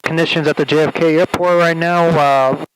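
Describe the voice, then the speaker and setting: Halting pilot on cabin PA. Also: male voice, on the high side, fairly even intonation, rough recording. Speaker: airline pilot. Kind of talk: cabin announcement. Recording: aircraft PA with faint noise.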